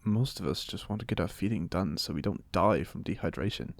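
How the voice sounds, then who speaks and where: A man's voice: deep voice, a little fast, vocal fry, no echo. A man playing a genial old vampire in an audio roleplay, speaking with a British accent in polite, formal, wryly chatty phrases. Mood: wry, mildly annoyed. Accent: British, put on by an American. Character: genial, chatty, courteous centuries-old male vampire being interviewed. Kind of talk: ASMR audio roleplay monologue.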